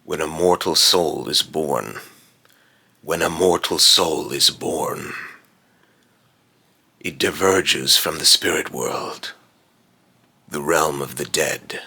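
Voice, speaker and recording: Man voicing a very deep, raspy, brooding spirit. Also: pushed and bright, exaggerated voice. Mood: solemn, ominous. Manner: slow, deliberate, solemn. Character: Phobos, brooding otherworldly male spirit of fear and death. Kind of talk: voice line recording with retakes.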